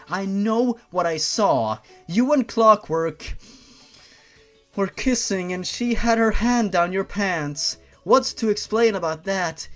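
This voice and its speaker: Guy doing a dramatic heartbroken teen girl from a story, pitched up, super animated, touchy, music underneath. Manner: melodramatic, indignant. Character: emotional, hot-tempered heartbroken teenage girl in a story. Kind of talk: mock-dramatic storybook reading.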